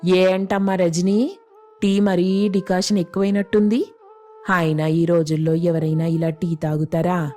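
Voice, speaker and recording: A woman's voice: on the low side, little pitch movement, a little slow, dark tone, faint music behind, lightly acted. A woman playing a haughty, demanding older female in-law who taunts her hosts in a comic story. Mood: bossy. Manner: taunting, sarcastic, bossy. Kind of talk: comic moral story voice acting.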